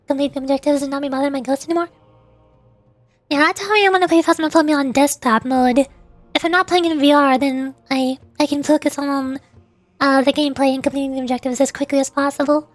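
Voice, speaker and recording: A woman's voice: high voice, dry recording. A woman livestreamer chatting casually and playfully in a rambling way while gaming. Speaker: VTuber livestreamer.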